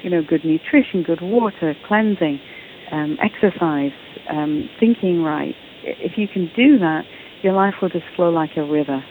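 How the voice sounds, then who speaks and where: A woman's voice: deep voice, moderate pace, slight background noise. A woman with an English accent, a guest author talking eagerly and often repeating words as she starts sentences. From England. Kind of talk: casual interview talk. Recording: tinny phone line.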